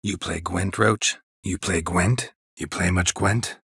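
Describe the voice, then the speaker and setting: Gruff game monster hunter, super raspy low voice, dry and deadpan, close dry sound. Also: male voice, slow pace, no room sound, full character voice. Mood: casual, probing. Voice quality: low, gravelly, husky. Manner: terse, dry, deadpan, clipped sentences. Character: gruff, weary, sardonic male monster hunter for hire. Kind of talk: video game character dialogue.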